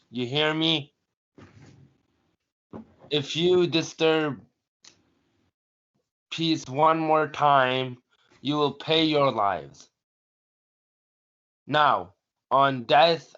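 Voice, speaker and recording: An angry prince read by a male student delivers his rebukes very slowly and haltingly. He is stern and commanding, on a muffled recording of a student play. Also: medium pitch, fairly bright tone. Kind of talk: student reader's theatre. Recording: dull, muffled lo-fi audio.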